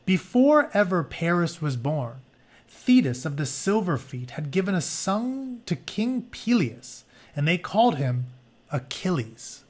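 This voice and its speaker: Higher-pitched man reading aloud and explaining. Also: big pitch swings, a little slow. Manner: informal, conversational, explains as he goes. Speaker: teacher reading a book aloud. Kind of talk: read-aloud with casual explanatory asides.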